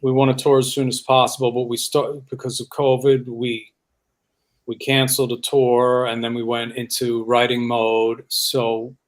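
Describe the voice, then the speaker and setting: A man, a rock bassist, talking casually as a guest in an interview. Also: little pitch movement, moderate pace.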